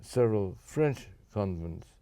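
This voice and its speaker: Older man, interview guest, low voice, mellow tone, measured and careful, dry close sound. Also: animated, smooth, no room sound. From Japan. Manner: measured, careful, many restarts and fillers. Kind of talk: interview answers.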